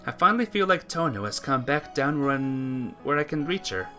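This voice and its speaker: A person with a bright, forward voice narrates a visual novel for a let's-play. The delivery is a scripted read with small slips, and soft guitar music plays faintly behind it. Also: mid-range voice, big pitch swings, normal speed.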